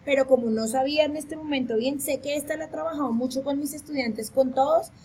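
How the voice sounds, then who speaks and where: A woman's voice: sits high, rapid-fire, a little mellow, slight background noise. A woman in her thirties from Colombia, an English teacher giving an encouraging online lesson over a video call. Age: 30s. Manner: friendly, encouraging, switches between Spanish and English.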